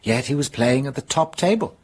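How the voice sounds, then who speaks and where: A shrewd detective. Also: male voice, mid-range voice, lively intonation, slightly rough. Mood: explaining. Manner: measured, explaining, amused. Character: shrewd French police detective, Inspector Hanaud. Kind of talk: radio drama acting.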